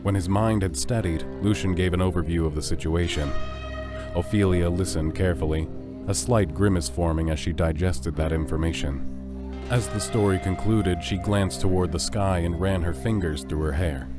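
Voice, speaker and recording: A man's voice: low-pitched, moderate pace, over music. A man reading scripted narration for a fantasy audio drama.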